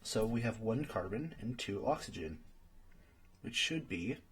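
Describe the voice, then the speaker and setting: A man's voice: on the low side, expressive, measured pace, a bit bright, slightly rough, heavily acted. A man playing a friendly young friend in an ASMR roleplay, patiently tutoring and thinking aloud in a hesitant way. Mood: encouraging, explaining. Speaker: study partner.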